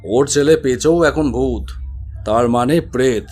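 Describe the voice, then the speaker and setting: A man's voice: medium pitch, measured pace, darkish tone, soft background music, lightly acted. A man doing a bossy old uncle. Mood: explaining. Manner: scolding, explaining. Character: older uncle, ghost keeper, bossy and knowing.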